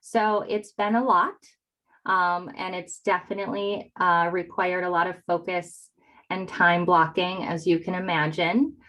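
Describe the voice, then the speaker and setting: A woman's voice: lowish voice, steady tone, measured pace, fairly bright tone, poor audio. A woman with a Yooper northern Wisconsin accent giving conversational answers as an interview guest on a video call. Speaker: interview guest, real estate agent.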